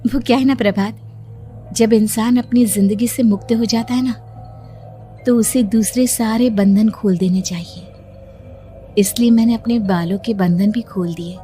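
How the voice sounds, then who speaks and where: A woman acts as a young wife in a cartoon horror story. She is attentive and eerily calm, in a fairly high voice over faint music. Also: normal speed, natural voice.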